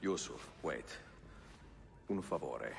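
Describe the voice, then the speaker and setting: An actor playing a grave, courteous middle-aged master assassin with an Italian accent, measured and solemn, in a video game. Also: male voice, rumbling low, expressive, gravelly, full character voice. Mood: firm, courteous. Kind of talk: video game cutscene acting. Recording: music behind the voice. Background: music.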